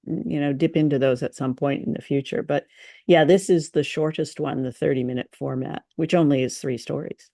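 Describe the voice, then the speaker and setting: A woman co-hosting a podcast, chatting informally in a halting way. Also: sits low, fairly even intonation, gravelly, warm tone, dry recording, studio quality. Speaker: podcast co-host. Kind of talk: casual chat, radio drama discussion.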